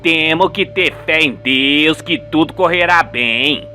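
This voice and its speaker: A man's voice: a little high, slow pace, sharp tone, soft background music, full character voice. A man voicing an earnest, devout middle-aged family man with a folksy, dramatic manner. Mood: hopeful. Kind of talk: radio soap acting.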